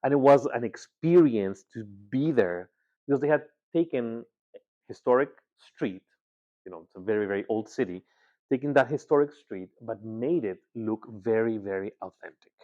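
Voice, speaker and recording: A man's voice: medium pitch, animated, moderate pace, mellow. Ecuador-born guy giving a talk, lots of ums and repeats, kinda dull lo-fi recording. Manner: conversational, with filler words and repeats. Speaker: guest speaker, agency founder. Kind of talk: presentation talk. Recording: slightly dull, lo-fi sound.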